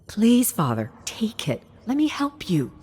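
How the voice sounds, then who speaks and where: A woman's voice: mid-range voice, wildly swooping pitch, measured pace, darkish tone, breathy edge, soft background music, voice pushed up, full character voice. A woman voicing a determined young female gamer, an earnest, pleading and defensive daughter in a narrated story.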